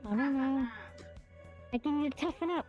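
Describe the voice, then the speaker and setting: A woman's voice: sits high, moderate pace, music in the background. A woman roleplaying a pushy, teasing tough young girl in an online fantasy game. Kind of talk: improvised in-character roleplay.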